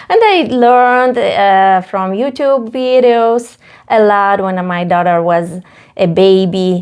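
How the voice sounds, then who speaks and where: A woman in her thirties from Ukraine, speaking English with a Ukrainian accent, telling her story with many pauses and fillers as a guest. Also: mid-range voice, clear, resonant tone. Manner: halting non-native English with many fillers. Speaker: face painter, guest. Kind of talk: casual interview.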